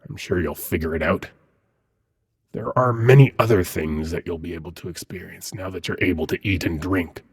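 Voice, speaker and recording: A man voicing a teasing, seductive, gently menacing demon prince in an intimate ASMR roleplay, husky and breathy, often close to a whisper, with silky, unhurried delivery. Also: low voice. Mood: playful, coaxing.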